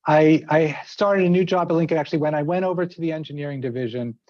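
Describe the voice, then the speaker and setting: A man's voice: fairly high pitch, fast talker, darkish tone, no room sound. A middle-aged man from outside New York City giving a seminar talk, chatty and full of restarts and fillers. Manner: conversational, many fillers and restarts. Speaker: seminar speaker. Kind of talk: informal talk with anecdotes. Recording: dry, slightly dull sound.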